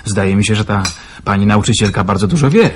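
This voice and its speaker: An actor voices a withdrawn man hiding out on a lonely farm, with a fairly low voice. He answers in a dry, brief, guarded way in a radio play, over a faint background hiss. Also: expressive, fairly quick, close to an everyday voice. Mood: reserved. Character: reserved man hiding out, a hired hand, withdrawn. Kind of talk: radio drama acting.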